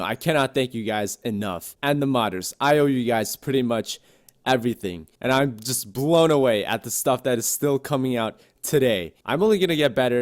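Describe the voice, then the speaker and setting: Man, gaming youtuber, casual commentary, excitable, profane, jokey, mid-pitched voice. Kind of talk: casual gameplay commentary and channel talk.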